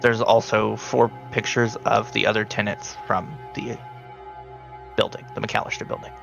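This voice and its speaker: A man narrating a tabletop roleplaying game as game master, speaking haltingly and deliberately. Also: fairly low pitch, flat delivery, gravelly, fairly bright tone, highs cut off, faint music behind. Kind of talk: narrating a tabletop roleplaying game, partly in character as a briefing handler.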